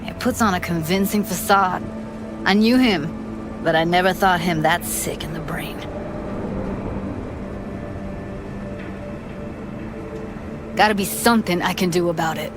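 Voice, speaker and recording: An actress performs a young woman in a video game, grieving and guarded. Her voice sits on the low side and her phrasing is clipped, slangy and bitter. Music plays clearly behind her. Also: moderate pace, natural voice. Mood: pained, subdued. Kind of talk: naturalistic video game acting. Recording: game dialogue under clear background music.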